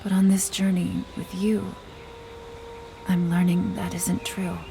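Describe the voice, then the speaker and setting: A woman voicing a gentle, empathetic enchantress haunted by regret in a video game, speaking with soft, earnest care. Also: fairly low pitch, little pitch movement, slow, vocal fry, background noise. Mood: confessional. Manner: soft, earnest, soothing counsel. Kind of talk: dramatic video game acting. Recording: game music behind the voice. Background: game music.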